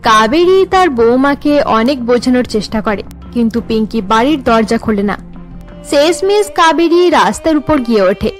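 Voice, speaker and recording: Female storyteller for cartoon drama: high voice, scripted narration, music bed. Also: animated, normal speed.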